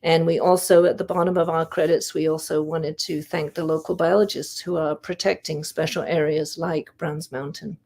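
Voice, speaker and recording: A woman giving a prepared history presentation in a measured way, with deliberate pauses. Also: low voice, level tone, a little mellow. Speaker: volunteer history investigator presenting research.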